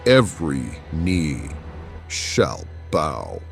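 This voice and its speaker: A man's voice: a bass voice, wildly swooping pitch, very slow, vocal fry, faint music behind, voice pushed down, exaggerated voice. A man voicing a menacing, arrogant medieval cardinal in an audiobook, speaking in a commanding, pompous way. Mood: threatening, commanding. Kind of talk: dramatic audiobook character acting.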